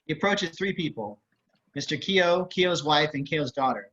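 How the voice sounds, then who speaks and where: A man's voice: on the high side, expressive, moderate pace, fairly bright tone. A man reading screenplay action as scripted narration over a video call. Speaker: stage directions reader. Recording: slightly muffled video-call audio.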